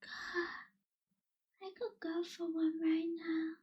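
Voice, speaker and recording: A woman playing a melancholy young vampire in an ASMR roleplay, speaking in a soft, breathy half-whisper with wistful, formal phrasing. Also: very high voice, level tone, muffled recording. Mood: wistful, nostalgic. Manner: slow, wistful, hushed, formal wording. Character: melancholy young female vampire, gentle reluctant captor.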